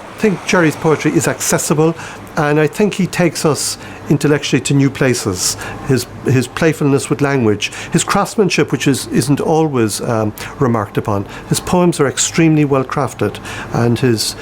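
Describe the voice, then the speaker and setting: A man giving considered, somewhat halting interview answers as a publisher. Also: a little high, slight background noise. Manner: considered, halting with repeated words. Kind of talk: thoughtful interview talk.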